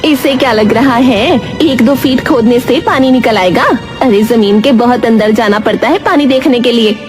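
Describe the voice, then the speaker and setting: A jeering village onlooker in a cartoon tale, voiced by a woman narrator. The voice is scornful and lifted high, with music clearly behind it. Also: moderate pace, natural voice. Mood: mocking. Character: mocking, sneering village onlooker. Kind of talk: acted quote in a cartoon story.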